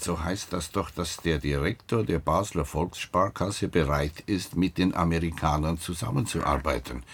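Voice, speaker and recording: A very low, rough-throated male voice plays an older ex-detective in a radio play. He is gruff and blunt, grumbling as he presses for answers. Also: a bit bright, exaggerated voice. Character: gruff, insistent older retired police detective, a grandfather. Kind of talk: naturalistic radio drama dialogue.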